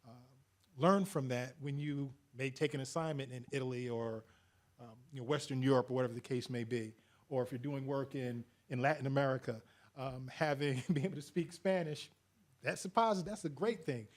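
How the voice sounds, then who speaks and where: A man's voice: medium pitch, fairly quick. A man speaking as a panelist to an audience, earnest, chuckling now and then, with many fillers. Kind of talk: panel discussion.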